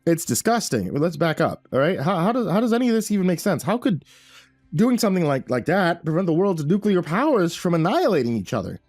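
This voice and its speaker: A man's voice: big pitch swings, fast, a little mellow, dry recording, clean studio sound, faint music behind. A man voicing a flustered young man who stammers and yelps in alarm. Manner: stammering, high-pitched outbursts.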